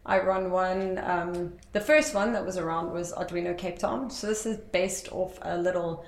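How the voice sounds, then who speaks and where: Woman, from South Africa, interview guest, rambling with fillers, lowish voice, echoey room. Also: steady tone, moderate pace. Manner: chatty, rambling, many fillers and restarts. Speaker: interview guest, tech entrepreneur. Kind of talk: casual interview talk.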